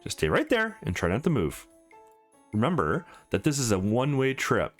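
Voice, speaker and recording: A formal, courteous space station attendant from a visual novel, acted out by a man. The delivery is matter-of-fact customer service with wide pitch movement, over game music. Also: huge pitch swings, normal speed. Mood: polite, informative.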